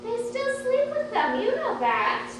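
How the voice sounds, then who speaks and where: Actress as bossy chatty aunt, theatrical and coaxing; heard from far off in a noisy hall. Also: female voice, extremely high pitch, huge pitch swings, a little slow, full character voice. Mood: nagging. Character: fussy, bossy, chatty middle-aged aunt and housewife. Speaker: stage actress. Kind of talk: live one-act stage comedy acting. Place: indoor stage, recorded from afar. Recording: echoey noisy hall, distant mic.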